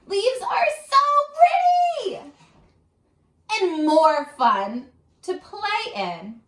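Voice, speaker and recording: A woman's voice: very high voice, very animated, extremely slow, reverberant, pitched above the performer's own voice, full character voice. A woman voicing a cheerful, eager little furry monster, exclaiming with simple enthusiasm in a children's picture book. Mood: excited, amazed.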